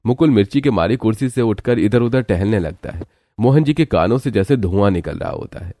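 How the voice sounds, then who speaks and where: A man's voice: fairly low pitch, expressive, brisk, dark tone, no echo. A man narrating a scripted cartoon story as its storyteller.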